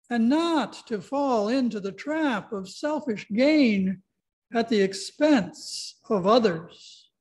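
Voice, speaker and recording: Slow-paced pastor in his sixties. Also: very high voice. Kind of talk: leading worship, introducing and reading scripture. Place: church sanctuary.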